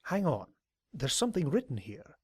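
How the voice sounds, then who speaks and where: A man playing an ageing, learned pony explorer in an audio drama, with a dry, measured and hesitant manner. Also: a little high, huge pitch swings, darkish tone, husky, dry recording, full character voice. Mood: scholarly. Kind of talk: audio drama character acting.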